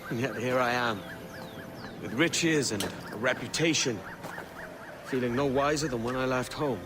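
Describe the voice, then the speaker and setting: An actor playing a remorseful, plain-spoken pirate captain in a video game cutscene. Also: male voice, medium pitch, unhurried, background noise, close to an everyday voice. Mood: rueful, weary. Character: weary, remorseful pirate captain, adult man.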